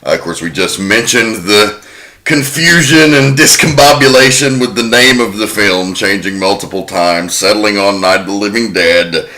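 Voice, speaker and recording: A man's voice: on the low side, normal speed, bright, forceful tone. A man hosting a podcast, casually walking through researched facts about an old film. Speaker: podcast host. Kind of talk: casual film-history chat.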